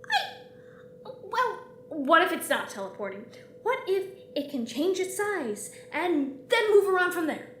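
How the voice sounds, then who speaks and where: A small, exasperated female captain, played by a woman, recorded in an echoey space with faint noise. Her high voice leaps about as she protests emphatically and reluctantly, in sci-fi audio drama style. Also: big pitch swings, measured pace, fairly bright tone, full character voice. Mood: skeptical.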